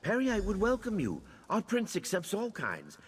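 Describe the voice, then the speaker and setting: A man playing an inviting cultist of a dark prince in a fantasy video game. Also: sits high, huge pitch swings, normal speed, breathy edge, exaggerated voice. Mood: eager, inviting. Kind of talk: video game character dialogue.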